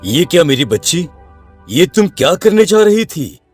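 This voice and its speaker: An actor voicing a kindly old male sage in a cartoon, speaking in a slow, soothing, fatherly way. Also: fairly high pitch, sing-song, a little mellow, husky, faint music behind, heavily acted. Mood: gentle. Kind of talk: Hindi cartoon dub.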